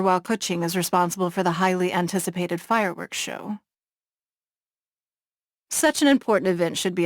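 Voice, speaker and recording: A poised, shrewd noblewoman leader from a fantasy game, voiced by a woman. She speaks in a measured, formal and composed way, with a slightly low voice. The recording is dry and close, with no room sound. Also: no echo, lightly acted. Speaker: game character. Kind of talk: game cutscene voice acting.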